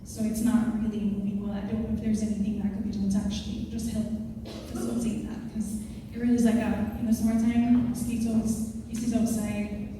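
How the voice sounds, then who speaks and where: A woman's voice: mid-range voice, flat delivery, brisk, noisy background. A woman resident casually asking a question at a town meeting, chatty with many fillers. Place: council chamber. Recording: distant, echoey hall recording.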